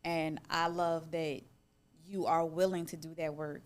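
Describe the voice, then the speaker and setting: A woman hosting a conversational interview, talking in an animated, appreciative way. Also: low-pitched, measured pace, clear, resonant tone. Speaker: interviewer and host.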